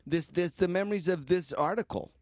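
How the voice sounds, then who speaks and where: A man's voice: high-pitched, a little fast, a little mellow, no echo, studio quality. A man co-hosting a casual chat show who sums up a news story and jokes about himself in a wry way. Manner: wry, self-mocking, joking.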